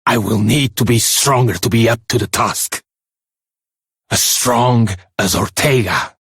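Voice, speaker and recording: Slow, husky seasoned warrior. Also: male voice, mid-range voice, a bit bright, no echo, studio quality, exaggerated voice. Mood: weary resolve. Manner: reflective, solemn, measured. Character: earnest seasoned warrior father reading his journal. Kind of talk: dramatic video game monologue.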